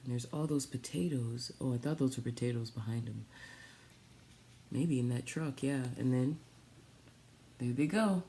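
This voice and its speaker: A woman reading a picture book aloud for children, pausing and adding little asides about the pictures. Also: very deep voice, mellow, a little hiss or hum. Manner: pausing, pointing out pictures to young listeners. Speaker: storytime reader for a children's theatre.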